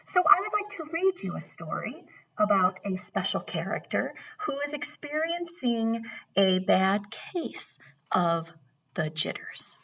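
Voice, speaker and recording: A woman's voice: medium pitch, big pitch swings, unhurried, a bit bright, husky, low-quality recording. A woman reading a children's storybook aloud as a teacher, expressively and addressing young listeners directly.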